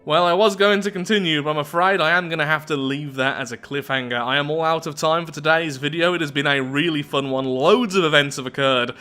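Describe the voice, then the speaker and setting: A man hosting a video game playthrough, talking through it in an animated, chatty way and thinking aloud. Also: a little high, fairly quick, hardly any pauses, clear, resonant tone, faint music behind. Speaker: YouTube gaming host. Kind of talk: game playthrough commentary, reading event text aloud.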